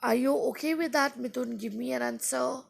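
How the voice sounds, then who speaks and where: A woman English teacher chatting casually with viewers on a live stream, halting and stopping to rephrase her own sentences, her voice not at its best today. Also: high voice, gravelly, a little hiss or hum.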